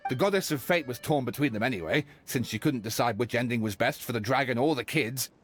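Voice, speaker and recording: An actor playing an earnest, brooding storybook detective in a video game, speaking in a theatrical, confessional way. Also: male voice, medium pitch, quick pace, faint music behind, lightly acted. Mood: storytelling. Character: earnest, brooding male storybook detective questioning whether he is real.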